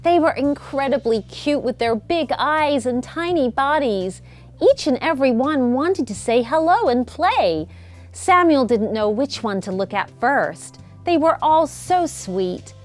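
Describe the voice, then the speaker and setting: A woman reading a children's bedtime story as an expressive narrator. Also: high voice, a little slow. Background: music.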